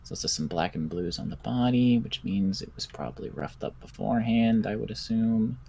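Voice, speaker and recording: A man roleplaying a cautious, analytical doctor in a zombie survival game, speaking in a deliberate, musing, clinical way. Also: fairly low pitch, gravelly, dark tone, a little hiss or hum. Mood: clinical, pondering. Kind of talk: improvised Minecraft roleplay.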